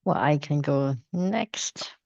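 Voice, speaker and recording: A coach originally from Taiwan speaks hesitantly over a video call, stopping often to find the right words. Her voice has a gravelly edge. The audio is dull and dry, like compressed call sound. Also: sits low, expressive, no echo. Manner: halting, searching for words, laughs softly. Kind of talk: casual self-introduction on a video call.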